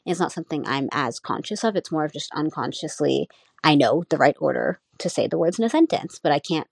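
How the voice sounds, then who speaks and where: Young adult woman, native Nepali speaker, low voice, musing student reflection, dry close recording. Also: lively intonation, brisk, vocal fry, breathy edge, no room sound. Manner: thoughtful, searching, full of "um" and "like". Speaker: college student. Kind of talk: casual spoken class reflection.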